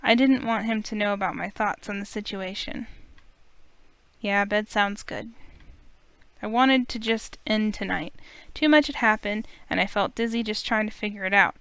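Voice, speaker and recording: A woman reading a visual novel aloud in steady first-person narration. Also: mid-range voice, normal speed, smooth, no room sound. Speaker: let's play reader.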